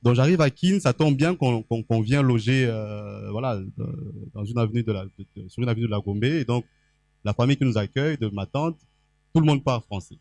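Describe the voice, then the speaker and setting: A man's voice: mid-range voice, fairly quick, creaky, a little mellow, no echo. A man from the Équateur province of Congo, a guest on a reading panel, telling his story chattily with many fillers and restarts into a handheld microphone. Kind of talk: public panel talk. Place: event hall stage.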